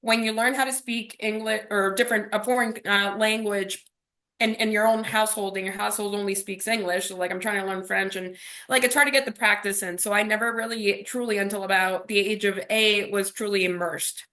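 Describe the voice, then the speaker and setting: This is a woman from Miami whose first language is Spanish. Her voice is bright and forward at a middle pitch. She speaks as an interview guest, reflecting on her own story and punctuating it with small rhetorical questions. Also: fairly even intonation, brisk. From Miami, USA.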